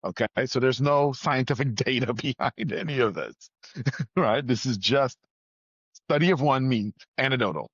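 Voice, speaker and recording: A man from Trinidad and Tobago talks as a podcast guest, telling personal stories conversationally. He is emphatic and repeats phrases for weight, in a medium-pitched voice. The sound is dry and slightly dull. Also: normal speed, breathy edge, no room sound. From Trinidad and Tobago, moved to the US at nine.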